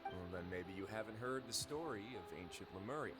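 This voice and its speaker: An actor playing a calm, wry duke in a video game, speaking in a measured, composed way. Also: male voice, on the low side, music in the background, natural voice. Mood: wryly amused. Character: calm, wry male duke ruling an underwater prison fortress. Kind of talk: scripted video game dialogue and storytelling.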